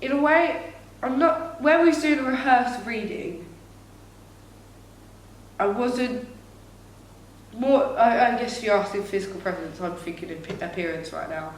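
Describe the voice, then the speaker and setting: A woman's voice: a little high, unhurried, pauses often, recorded from a distance. Young woman in a very echoey room. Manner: reflective, thinking aloud with fillers. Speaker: podcast co-host, actor. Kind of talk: casual chat. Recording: very echoey room, faint noise.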